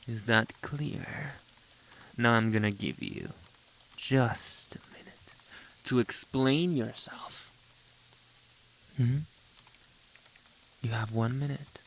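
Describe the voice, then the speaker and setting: A man acting a slow, whispery jealous boyfriend. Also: mid-range voice, a bit bright, slight background noise, low-quality recording. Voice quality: breathy, often dropping into whisper. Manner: theatrical, slow, drawn-out interrogating with dramatic pauses. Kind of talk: ASMR roleplay, close whispering and soft speech.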